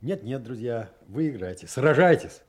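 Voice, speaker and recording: A genial doctor in an audio play. The voice is very husky and dark, and it moves expressively in an easygoing, courteous way. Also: male voice, medium pitch, expressive, moderate pace. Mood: genial, teasing. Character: genial doctor, a card-game onlooker and friend.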